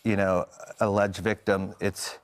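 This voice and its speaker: An American man in his forties speaking as a television interview guest, earnest and somewhat halting as he explains himself. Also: lowish voice, little pitch movement. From United States.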